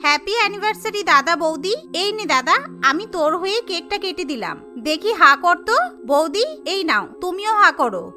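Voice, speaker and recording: A woman's voice: very high-pitched, sing-song, brisk, pushed and bright, faint music behind, heavily acted. A woman voicing a spiteful, jealous young college-age sister-in-law in a cartoon family story, sulky, taunting and manipulative. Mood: gloating. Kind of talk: animated family story dialogue.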